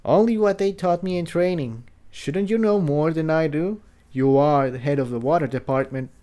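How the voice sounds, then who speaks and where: A man voicing a timid, awed young female pony in a storybook reading, soft and hesitant. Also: a little high, moderate pace, pitched above the performer's own voice, close to an everyday voice. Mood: questioning.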